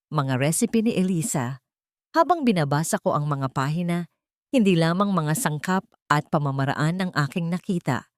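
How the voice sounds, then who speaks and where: A woman's voice: fairly low pitch, dry recording, clean studio sound. A woman reading a scripted dramatic story in the first person, as an audiobook narrator.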